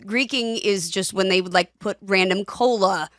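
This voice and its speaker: A woman's voice: mid-range voice, moderate pace, fairly bright tone, no room sound, clean studio sound. A woman co-hosting a podcast in casual chat, speaking with a slight lisp and rambling with restarts. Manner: rambling, many restarts and fillers. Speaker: podcast co-host and former child actor.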